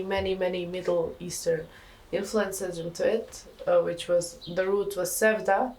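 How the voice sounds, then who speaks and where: A young woman from Bosnia and Herzegovina with a Bosnian accent, a student musician speaking earnestly in a documentary interview. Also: lowish voice. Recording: distant mic, faint ambient noise.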